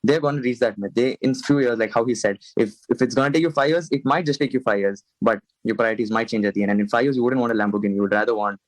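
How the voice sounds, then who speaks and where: A man's voice: medium pitch, rapid-fire, hardly any pauses, no room sound, poor audio. A young man from India on a panel, speaking earnestly with many fillers. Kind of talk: casual panel talk.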